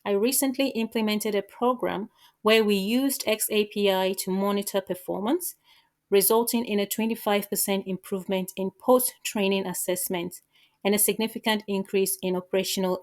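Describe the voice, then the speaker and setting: A woman speaks as a candidate in a job interview. Her answers sound prepared and formal, set out in a measured, orderly way. The pitch sits in an ordinary middle range for a woman.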